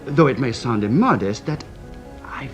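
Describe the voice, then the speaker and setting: An actor playing an ambitious, obsequious French police assistant in a radio drama, stammering through flowery, flustered flattery. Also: male voice, medium pitch, moderate pace, music in the background, close to an everyday voice. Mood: fawning, nervous. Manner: fawning, hesitant, stammering, flowery. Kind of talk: radio detective drama acting.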